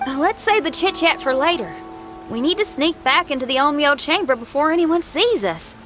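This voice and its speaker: A woman's voice: sits high, lively intonation, normal speed, loud music behind the voice. An actress playing a spirited, friendly young female street musician in a video game, earnest and folksy. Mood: concerned determined.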